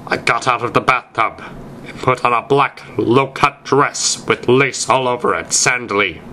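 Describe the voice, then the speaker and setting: A man's voice: mid-range voice, normal speed, pushed and bright, slight background noise. A man narrating fan fiction aloud in a theatrical reading style.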